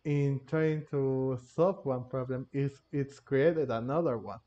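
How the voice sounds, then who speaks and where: Male gamer commentator: casual, very mellow mid-pitched voice, halting, repetitive phrasing, lo-fi microphone. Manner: halting, repeats and rephrases himself. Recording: dull, lo-fi microphone.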